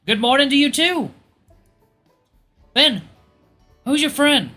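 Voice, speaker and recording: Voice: high voice, sing-song, slow, bright, forceful tone, soft background music, pitched above the performer's own voice, heavily acted. A performer voicing a proud, polite young male Australian resident assistant in a jaunty manner. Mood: bright greeting.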